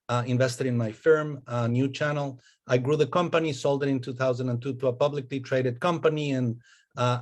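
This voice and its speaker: A man in his fifties from Costa Rica gives a webinar presentation as an investment partner. He speaks in a friendly, fluent way, though he often pauses on fillers and restarts his sentences. His voice sits at an ordinary middle pitch. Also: a little mellow. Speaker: venture capital investor relations partner.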